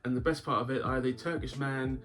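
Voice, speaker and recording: A man's voice: medium pitch, steady tone, fast talker, a bit bright. A man hosting a casual game-collecting vlog. He has a sore throat, a cough sweet in his mouth, and a rambling, wry manner. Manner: rambling, wry, chuckles often. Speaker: YouTube game collector host. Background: background guitar music.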